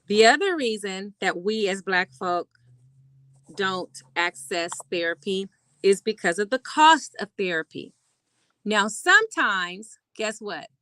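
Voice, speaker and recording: Host: middle-aged woman, bright chatty voice, emphatic, close dry mic. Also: medium pitch, expressive, slow pace, no room sound. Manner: emphatic, chatty, laughs often. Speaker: clinical psychologist and host. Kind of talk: casual talk on mental health.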